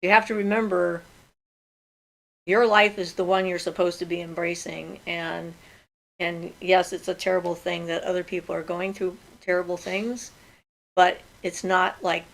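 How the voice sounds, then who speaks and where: A woman's voice: on the low side, level tone, moderate pace, gravelly, poor audio. An older woman hosting a livestream from home, chatting casually and answering viewers' questions as she thinks aloud. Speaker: host of a magic Q&A livestream.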